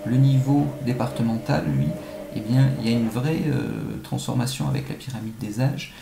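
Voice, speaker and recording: A man giving measured, analytical answers as an academic expert being interviewed, with some halting phrasing. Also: mid-range voice, mellow. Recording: echoey room, faint-to-clear background noise.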